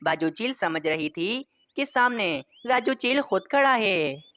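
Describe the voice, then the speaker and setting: A woman's voice: mid-range voice, lively intonation, slow, fairly bright tone. A woman reading scripted narration for a children's story.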